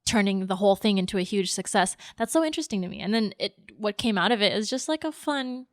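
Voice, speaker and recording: A woman's voice: mid-range voice, expressive, quick pace, no room sound, studio quality. A woman hosting a podcast in a casual, conversational chat about films. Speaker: podcast host. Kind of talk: casual film discussion chat.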